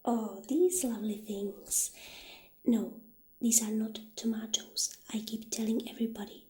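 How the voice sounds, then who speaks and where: A woman speaking softly and breathily, often near a whisper, in a gentle ASMR roleplay as a witch shopkeeper. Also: a little high, fairly even intonation, measured pace. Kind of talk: soft-spoken, half-whispered ASMR roleplay. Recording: roomy echo, audible background noise.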